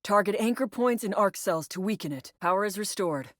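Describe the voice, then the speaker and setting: A woman's voice: medium pitch, expressive, a little fast, no room sound, clean studio sound, lightly acted. An actress playing a female military operative in a video game, briefing her squad with clipped, instructive delivery. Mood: focused, commanding. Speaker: mission briefer and incursion leader.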